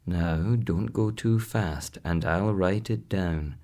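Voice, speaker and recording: A man voicing an eager, curious gentleman doctor in a bedtime storybook. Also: low voice, slow. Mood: excited, curious. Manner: excited, curious. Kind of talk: storybook character voice.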